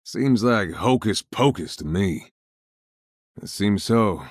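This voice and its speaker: An actor playing a wry, laid-back gunslinger in a video game, with a drawling American Southern accent and folksy, cocky manner. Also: male voice, lowish voice, lively intonation, slow, dry recording, studio quality. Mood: wry, casual. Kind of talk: video game character voice lines.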